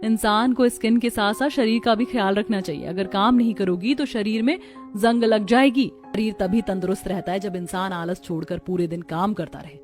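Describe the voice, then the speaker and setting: A woman's voice: mid-range voice, normal speed, darkish tone, soft background music, natural voice. A woman playing a hardworking, sensible village sister-in-law in a cartoon, speaking earnestly. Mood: advising. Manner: earnest, advising.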